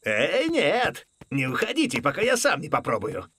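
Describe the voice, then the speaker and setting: A man voicing an excitable, easygoing middle-aged wallaby neighbour with a gravelly voice in a preschool cartoon dub. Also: fairly high pitch, normal speed, a little hiss or hum, full character voice. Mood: confident.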